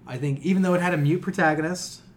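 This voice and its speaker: A man co-hosting a podcast, talking casually and opinionatedly, with animated exasperation and casual swearing. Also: a little high, quick pace, slight background noise. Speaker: podcast co-host.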